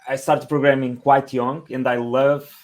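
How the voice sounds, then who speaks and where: A man from Portugal with a Portuguese accent, giving conversational interview answers as a podcast guest, halting and repeating words as he goes. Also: mid-range voice, level tone. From Portugal, Lisbon area. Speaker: podcast guest, engineering manager.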